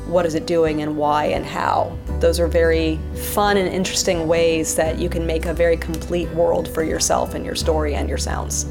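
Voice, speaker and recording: A woman talking reflectively in an interview, explaining her creative views in a thoughtful way. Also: low-pitched. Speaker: interview guest, sound effects artist and writer. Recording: music playing behind the voice. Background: music.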